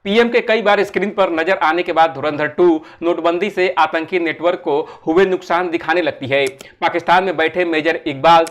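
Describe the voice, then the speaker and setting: A man with a fairly high-pitched voice delivers scripted narration for a film-review video essay. He explains his points in an orderly way and uses rhetorical questions to move the argument along. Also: fairly bright tone.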